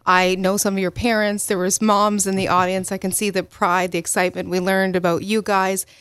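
A woman's voice: medium pitch, level tone, a little fast, a bit bright, clean tone, no echo. A woman co-hosting a morning radio show, enthusiastic and friendly.

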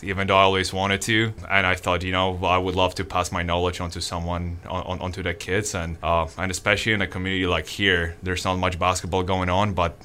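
A man from Poland speaks in a very low voice with a bright, forceful edge. He is a basketball coach in his thirties, talking casually and loosely. He keeps restarting and leaning on fillers. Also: monotone, brisk, few pauses. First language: Polish. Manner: rambling, many fillers and restarts. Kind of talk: casual interview answers.

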